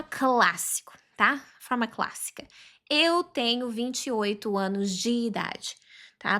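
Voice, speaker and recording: A woman in her thirties teaching a chatty online English lesson, often checking in with the learner and slowly repeating phrases for practice. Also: a little high, a bit bright.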